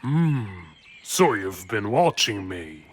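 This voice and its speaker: Casting: sly, calculating children's cartoon baddie, falsely friendly, slow and animated, music underneath. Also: male voice, fairly low pitch. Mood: sly, curious. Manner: condescending, calculating, drawn-out. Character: sly scheming male villain, Mister Fangs, feigning friendliness.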